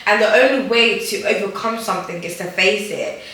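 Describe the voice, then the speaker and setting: A woman's voice: mid-range voice, steady tone, a little fast, background noise. Chatty young woman in echoey room. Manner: chatty, loose, lots of fillers and slang. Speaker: host. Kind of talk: casual chat. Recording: echoey room, voice far from mic.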